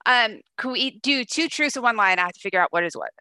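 Female podcast host, slightly high bright voice, chatty and informal, close dry sound. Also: lively intonation, quick pace, clear, resonant tone, dry recording. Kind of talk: podcast interview.

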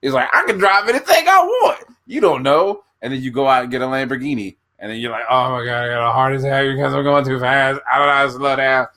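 A man's voice: mid-range voice, fast talker, few pauses. A man in his thirties co-hosting a podcast, joking and acting out little scenes in casual chat. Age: 30s. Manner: joking, animated, acts out bits. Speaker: podcast co-host.

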